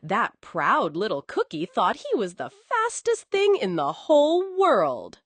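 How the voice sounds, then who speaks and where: A woman telling a scripted bedtime story, expressive and coddling, as if speaking to a young child. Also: medium pitch, unhurried, slightly rough, dry recording.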